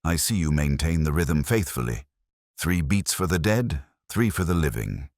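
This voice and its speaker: Guy doing a grave gentleman detective from an audiobook, super low voice, cryptic and commanding, swoops around. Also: very animated, normal speed, dry recording, studio quality, heavily acted. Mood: grave, stern. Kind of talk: audiobook character acting.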